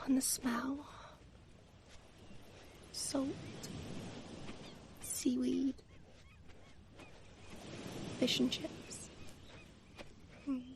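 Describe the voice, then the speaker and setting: A woman performing an ASMR comfort roleplay as an affectionate mother figure, speaking tenderly in a soft, breathy whisper with lingering pauses. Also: sits high, level tone, background noise.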